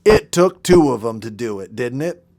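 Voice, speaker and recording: Storybook porcupine, young male, acted by a man: loud, cocky, slangy tough guy full of brag. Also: mid-range voice, expressive, moderate pace, close to an everyday voice. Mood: boastful, cocky. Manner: boastful, slangy. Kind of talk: storybook character voice.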